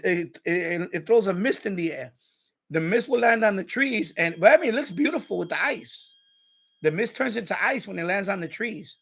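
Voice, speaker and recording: A middle-aged man talks casually to his livestream viewers in a fairly high voice with a creaky edge. He rambles along, circling back and repeating phrases as he goes. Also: a bit bright. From Puerto Rico. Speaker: live streamer, radio hobbyist.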